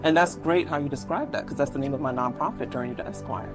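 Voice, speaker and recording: A woman in her forties from New York, telling personal stories as an interview guest in an animated way, acting out remembered dialogue. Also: extremely low pitch, quick pace, over music. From Brooklyn, New York, USA. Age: 40s. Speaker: interview guest, lawyer and law professor.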